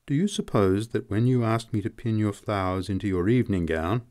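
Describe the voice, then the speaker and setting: A man's voice: mid-range voice, expressive, moderate pace, a little mellow, voice pushed up, natural voice. A man reading a novel aloud, voicing an anguished, lovesick man who is bitter and passionate. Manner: pleading and sneering by turns, emotional. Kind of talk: audiobook character reading.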